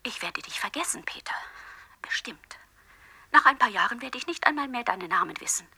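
Jilted lover, bright and breathy. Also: female voice, fairly high pitch, animated, a little fast, exaggerated voice. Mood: bitter, hurt. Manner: reproachful, wounded, intense, dropping into breathy whispers. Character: jilted, hurt woman pleading with her former lover on cassette. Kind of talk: radio drama monologue.

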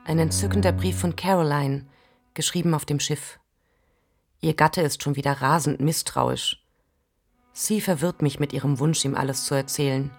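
A woman playing a reflective writer who recalls a secret love in an intimate radio drama, soft and measured. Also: sits low, faint music behind. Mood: pensive.

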